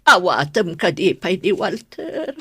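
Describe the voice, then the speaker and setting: A woman's voice: lowish voice, very animated, a little slow, pushed and bright, husky, no room sound, studio quality, exaggerated voice. A woman playing a frail, ailing old mother in a radio drama, weak and tearful as she pleads. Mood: weak, pleading. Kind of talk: Ilocano radio drama acting.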